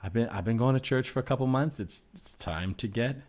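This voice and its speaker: A man improvising an earnest, easygoing tattooed nature enthusiast in a comedy sketch, talking haltingly and repeating words as he searches for phrasing. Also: medium pitch, a little mellow, lightly acted. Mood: sheepish, matter-of-fact.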